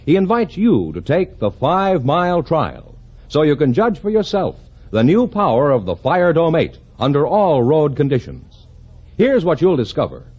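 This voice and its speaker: Husky American 1950s radio announcer, lively delivery. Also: male voice, normal speed. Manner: emphatic, polished sales pitch. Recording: dull 1950s radio broadcast.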